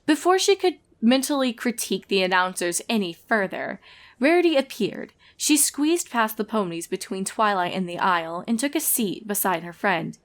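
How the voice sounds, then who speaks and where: A woman's voice: mid-range voice, very animated, normal speed. A woman reading scripted comic fiction as a storyteller, narrating the action between lines of dialogue.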